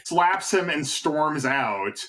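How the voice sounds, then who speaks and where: A man's voice: on the high side, lively intonation, slow, sharp tone, poor audio. A man co-hosting a podcast who walks through a game's plot step by step in casual chat. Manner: narrates plot points step by step. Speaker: podcast co-host. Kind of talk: casual chat recapping a game story.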